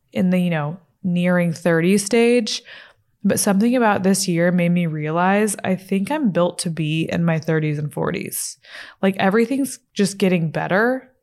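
A woman's voice: lowish voice, darkish tone. A woman in her late twenties hosting her own podcast, chatting candidly and casually with lots of fillers and the odd swear word. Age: 20s. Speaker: podcast host. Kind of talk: casual solo podcast chat. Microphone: studio mic, seated.

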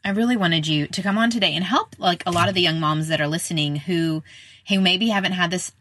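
A woman's voice: lowish voice, brisk, fairly bright tone. A middle-aged woman hosting a podcast, chatting informally in a casual interview style. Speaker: podcast host, speech-language pathologist.

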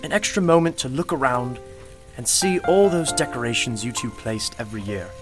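A man playing a kindly, sage magic shop owner in a radio play, speaking gently, deliberately and earnestly. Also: mid-range voice, animated, over music, close to an everyday voice. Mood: gentle, earnest. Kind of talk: sentimental radio play acting.

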